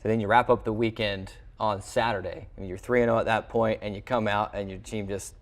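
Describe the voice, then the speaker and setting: A man's voice: lowish voice, steady tone, fairly quick. A man hosting a sports interview show in a television studio, with a wordy broadcaster's way of presenting.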